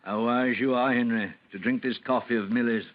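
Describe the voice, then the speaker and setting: An actor playing an effusive old French soldier, gallant and slyly sinister, in florid vintage radio horror style. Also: male voice, medium pitch, steady tone, fairly quick, natural voice. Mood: slyly coaxing. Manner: florid, exclamatory, gushing with chuckles. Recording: muffled, noisy old radio recording.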